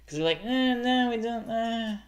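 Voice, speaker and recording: A man's voice: very high voice, normal speed, slight background noise. A young man with a faint rural Pennsylvania accent, chatting casually on a live stream, often trailing off and restarting his sentences. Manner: rambling, self-correcting, trails off.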